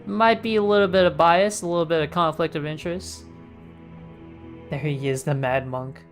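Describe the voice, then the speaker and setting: A man's voice: a little high, fairly even intonation, normal speed, soft background music. A man giving casual, slangy, joking gameplay commentary as a streamer. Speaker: game streamer.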